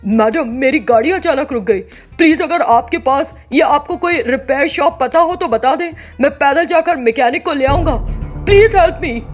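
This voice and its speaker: A woman's voice: high-pitched, normal speed, soft background music, pitched above the performer's own voice, natural voice. A woman voicing an anxious stranded man who pleads for help in a panicky way, slipping into English phrases. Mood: anxious, polite.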